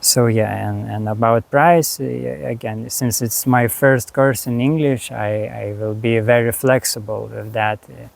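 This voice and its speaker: A man with a Lithuanian accent, a spiritual teacher talking slowly and haltingly to camera about his course, with many pauses and restarts. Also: mid-range voice, fairly even intonation, vocal fry. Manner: unhurried, halting, frequent fillers and restarts. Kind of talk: reflective monologue.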